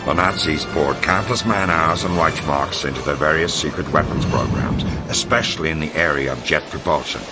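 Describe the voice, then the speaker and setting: Loud music plays under a very low voice: a grave military narrator from a war game. He delivers scripted, documentary-style lines with measured authority and a serious, commanding manner. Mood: measured, authoritative. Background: loud dramatic music.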